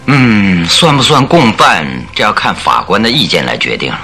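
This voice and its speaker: A deep-voiced, theatrical detective. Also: male voice, huge pitch swings, normal speed, pushed and bright, faint music behind, exaggerated voice. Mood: measured, probing. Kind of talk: Mandarin radio drama acting.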